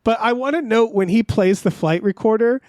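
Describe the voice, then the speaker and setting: A man's voice: high voice, moderate pace, darkish tone, no echo, studio quality. A man co-hosting a podcast in a casual, comedic recap style, rambling and incredulous, with some offhand swearing. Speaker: podcast co-host.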